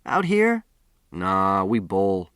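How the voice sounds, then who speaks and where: A man's voice: low voice, wildly swooping pitch, very slow, a bit bright, clean tone, no room sound, clean studio sound, full character voice. A man voicing a cocky, mocking small-town American boy in an audiobook, with slangy, challenging delivery. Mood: teasing, baffled.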